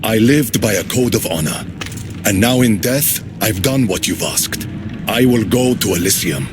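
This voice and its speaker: Man acting anguished Spartan warrior, widely swinging pitch. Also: lively intonation, normal speed, noisy background, natural voice. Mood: resigned. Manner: solemn, anguished. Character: guilt-ridden, honorable dead Spartan warrior. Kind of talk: dramatic video game acting.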